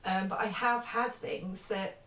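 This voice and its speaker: A woman's voice: medium pitch, steady tone, measured pace, a bit bright. A woman in a casual interview conversation, talking earnestly and emphatically and repeating her phrases. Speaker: interviewer, spiritual medium. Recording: roomy, distant mic, background noise.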